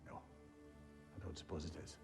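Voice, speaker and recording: A man's voice: unhurried, soft background music, lightly acted. A man playing a wry, kindly, candid older companion in a video game, folksy in manner. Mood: concerned, candid. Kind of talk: dramatic video game acting.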